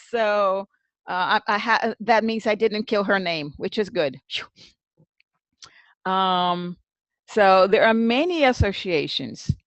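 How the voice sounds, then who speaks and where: A woman's voice: mid-range voice, sing-song, takes it slowly, long pauses, a bit bright, dry recording. A woman presenting an informal webinar as host, conversational, thinking aloud and addressing attendees by name.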